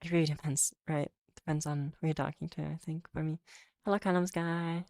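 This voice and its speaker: A woman's voice: sits low, little pitch movement, brisk, darkish tone, dry recording. A young woman, a Polish native speaker, with an English accent that mixes American and British, chatting casually on a stream in a rambling, self-correcting way full of fillers. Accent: mix of American and British English. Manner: rambling and self-correcting, frequent fillers and restarts, laughs easily. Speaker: VTuber streamer. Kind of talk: casual livestream chat, reading chat aloud.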